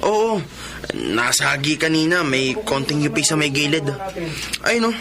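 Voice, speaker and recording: Friendly, higher-voiced sheepish guy. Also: big pitch swings, unhurried, heavily acted. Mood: casual, sheepish. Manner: casual, chatty. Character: friendly, sheepish young man, a customer. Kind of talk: Tagalog radio drama acting. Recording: clear background noise.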